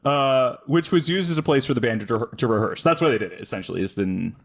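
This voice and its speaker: A man's voice: medium pitch, expressive, quick pace, gravelly. A man hosting a podcast, telling a story casually from notes with wry asides and self-corrections.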